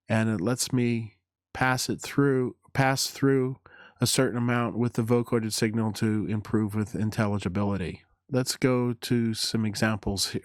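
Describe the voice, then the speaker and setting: A man narrating a hands-on synthesizer tutorial in a halting, deliberate way, explaining as he goes. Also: mid-range voice, dry recording, clean studio sound. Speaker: tutorial presenter.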